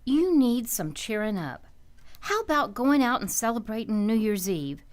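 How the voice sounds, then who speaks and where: A patient wife and mother in a golden-age radio comedy. Her voice is on the high side with expressive swings, and she chides and soothes in a homely way. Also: normal speed. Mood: coaxing. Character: sensible, patient, chiding housewife and mother. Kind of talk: old-time radio comedy acting.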